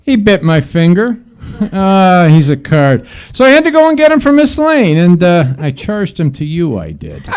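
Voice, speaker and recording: Man playing a high-voiced, eager sidekick. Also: huge pitch swings, a little slow, a little mellow, clean tone, full character voice. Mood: eager, pleased. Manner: eager, folksy, repetitive slangy chatter. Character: Louis, cheerful simple-hearted streetwise male sidekick. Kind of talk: 1940s radio comedy-drama acting.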